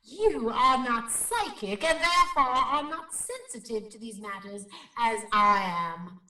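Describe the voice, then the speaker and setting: An actress playing an earnest, solemn paranormal investigator in a radio drama, speaking formally and with portentous foreboding. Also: female voice, a little high, expressive, bright, forceful tone, far from the mic. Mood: earnest, dignified. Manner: formal, grave, portentous, deliberate wording. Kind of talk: melodramatic radio drama acting. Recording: roomy echo, off the microphone.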